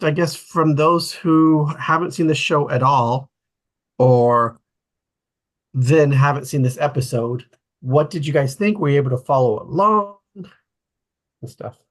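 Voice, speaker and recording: A man hosting a casual online group discussion, chatting informally with a slightly husky voice. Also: on the high side, slow pace, long pauses, a bit bright. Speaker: host of a table read discussion.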